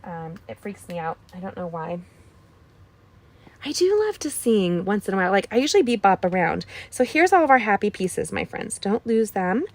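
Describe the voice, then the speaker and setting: A woman's voice: mid-range voice, sing-song, normal speed, no room sound. A woman with a slightly scratchy voice hosting a card-making tutorial, chatting casually with playful asides and dropping into whispers. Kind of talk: casual craft tutorial chat.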